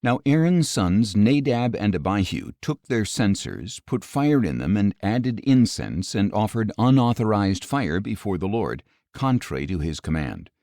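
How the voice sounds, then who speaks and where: Low-pitched man reading scripture, dry sound. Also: very animated, a little slow, hardly any pauses, dark tone, dry recording. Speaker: audiobook narrator of scripture. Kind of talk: scripted Bible reading, measured narration.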